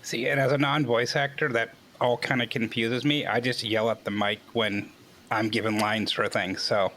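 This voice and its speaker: A man's voice: mid-range voice, flat delivery, moderate pace, sharp tone. A man casually hosting an interview, asking questions and passing the conversation around. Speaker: interview host. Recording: noticeable background noise.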